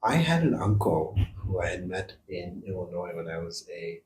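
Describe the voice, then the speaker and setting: A man with a very deep voice, born in India and raised in the United States, chats easily in an interview. He rambles through memories with small fillers, and a faint room hum sits beneath him. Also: big pitch swings, normal speed, a little mellow. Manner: easygoing storyteller with fillers. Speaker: guest surgeon. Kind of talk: casual interview chat.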